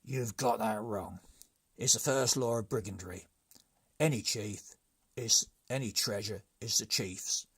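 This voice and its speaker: Storybook brigand chief, acted by a male reader: husky, creaky, curt and menacing, used to getting his own way. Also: on the low side, a little slow, fairly bright tone, full character voice. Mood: bossy. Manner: curt, domineering. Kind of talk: storybook character voice.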